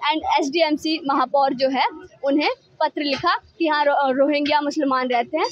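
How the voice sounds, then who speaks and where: A woman in her twenties interviewing as a street reporter, laying out the news haltingly and rephrasing as she goes. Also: high-pitched, fairly even intonation, dry recording. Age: 20s. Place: outdoors in a busy market street. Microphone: handheld reporter's microphone. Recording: dull, dry street recording.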